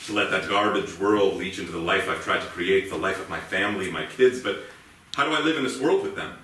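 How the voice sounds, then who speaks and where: An actor playing a haunted, guilt-ridden policeman and family man in a brooding, halting, intense stage monologue. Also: deep voice, a bit bright. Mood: anguished, agitated. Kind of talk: dramatic theatrical monologue. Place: indoor theatre stage. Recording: echoey theatre, distant mic, faint noise.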